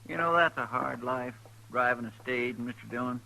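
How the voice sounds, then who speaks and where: An actor playing an easygoing, simple, loyal deputy sidekick in an old-time radio western, folksy and earnest. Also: male voice, medium pitch, normal speed, vocal fry, noisy background, close to an everyday voice. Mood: earnest, chatty.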